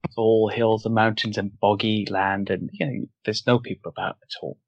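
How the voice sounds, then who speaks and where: Gravelly low-voiced man, muffled dry audio. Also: steady tone, normal speed, no echo. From Wales. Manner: thoughtful, searching for words. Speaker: guest author. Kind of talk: casual interview conversation.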